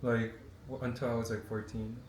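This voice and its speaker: A man's voice: mid-range voice, flat delivery, brisk, echoey room, slight background noise. A young man raised in Korea, chatting easily and laughing, mixing English and Korean. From raised in South Korea, born in the US. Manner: easygoing, laughing, switches between English and Korean. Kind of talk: casual chat.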